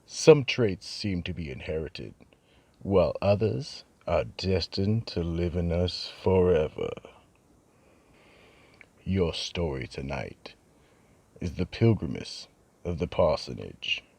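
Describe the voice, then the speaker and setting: A man giving ominous, measured narration for a horror radio show. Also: low voice, sing-song, creaky, darkish tone.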